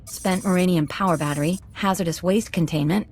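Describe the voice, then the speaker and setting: Game role: eager female slicer convict, fairly low voice, self-assured with a nervy edge. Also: a little fast, darkish tone. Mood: focused.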